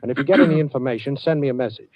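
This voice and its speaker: An actor playing a wry, cool-headed amateur sleuth on the run in a 1940s radio drama. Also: male voice, medium pitch, very fast, dry recording, lightly acted. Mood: polite, persuasive. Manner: wry, wisecracking. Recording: muffled old radio recording.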